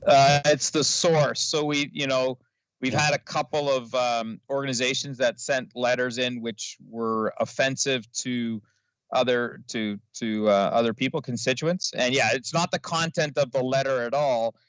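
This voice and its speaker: Man trustee, halting, mid-pitched, dry and lo-fi call sound. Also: steady tone, fairly bright tone, smooth, no echo. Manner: halting, searching for words. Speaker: school board trustee. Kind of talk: formal board meeting debate. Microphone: video call. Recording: dry, lo-fi video call audio.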